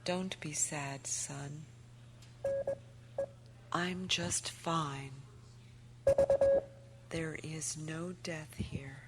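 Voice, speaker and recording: A woman playing a dead mother's gentle, loving spirit in radio drama, breathy and whispery, faint and distant. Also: deep voice, fairly even intonation, drawn-out words, long pauses, heavy background noise. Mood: tender, soothing. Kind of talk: old-time radio drama acting.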